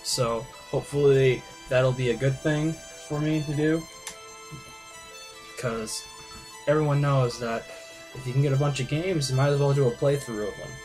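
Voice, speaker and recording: A man's voice: mid-range voice, steady tone, normal speed, darkish tone, low-quality recording. Male game playthrough host, mid-range voice, loose hesitant delivery, faint music underneath. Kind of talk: casual gaming commentary.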